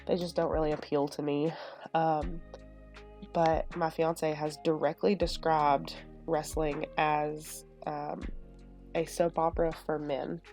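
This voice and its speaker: A young woman talks casually and rambles, sniffling from a stuffy nose and filling pauses with "um" and "like". Her voice is low-pitched and mellow. Faint chill music plays underneath. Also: flat delivery. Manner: rambling and chatty, lots of fillers. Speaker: Sims build video creator. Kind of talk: casual chat over a speed build. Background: soft lo-fi music.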